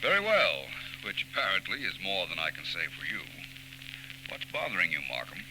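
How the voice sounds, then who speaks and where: A suave gentleman sleuth in a 1940s radio mystery. His voice is deep and swoops expressively, urbane and teasing. The vintage recording is clearly noisy. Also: big pitch swings, fairly quick. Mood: genial, teasing. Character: suave, self-assured gentleman detective.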